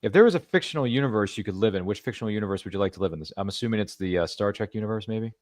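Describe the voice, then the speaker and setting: A man's voice: on the low side, lively intonation, very fast, a little mellow, dry recording, studio quality. A man hosting a podcast interview, chatting casually and rambling with playful asides. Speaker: podcast host.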